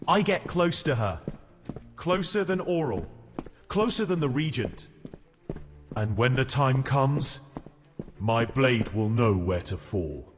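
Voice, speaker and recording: Guy doing a sinister fantasy assassin, slow and deliberate, big long reverb, plotting quietly to himself. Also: mid-range voice, expressive, soft background music. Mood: cold resolve. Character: menacing, patient male assassin plotting in secret. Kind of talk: dramatic fantasy audio drama monologue.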